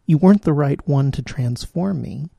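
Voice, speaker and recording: A lonely enchanted frog from a storybook, gentle and earnest, pleading softly, as a male reader puts him on. The voice is dark and gravelly, with a husky edge. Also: fairly high pitch, normal speed, no room sound.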